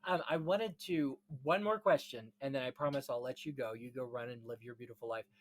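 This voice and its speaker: A man's voice: mid-range voice, brisk, poor audio. A man hosting a podcast in a casual film-history chat, talking in an effusive, gushing way. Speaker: podcast host.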